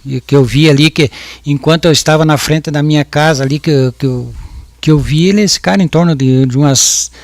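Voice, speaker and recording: A man's voice: fairly high pitch, a little fast, no room sound. Hesitant man in his fifties testifying. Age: 50s. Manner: hesitant, with many restarts and repeated words. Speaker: witness at a jury trial. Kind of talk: courtroom testimony.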